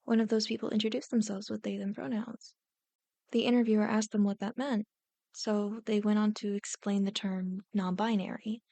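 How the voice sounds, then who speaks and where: A woman playing a warm, playful non-binary young adult friend in an ASMR roleplay, earnest and jokey. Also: medium pitch, flat delivery, moderate pace, vocal fry, no echo, lightly acted. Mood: sincere.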